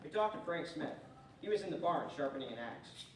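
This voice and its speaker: A young man playing a terse, deadpan cowboy lawman who narrates and questions in a western radio parody on stage. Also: on the high side, fairly quick. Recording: echoey stage, distant mic, music behind.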